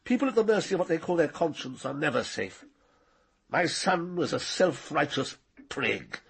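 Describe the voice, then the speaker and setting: An actor playing an arrogant, cold-blooded older knight in a radio drama, precise and condescending. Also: male voice, fairly high pitch, lively intonation, moderate pace, creaky, a bit bright, breathy edge, heavily acted. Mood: contemptuous. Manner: condescending, precise, coolly menacing.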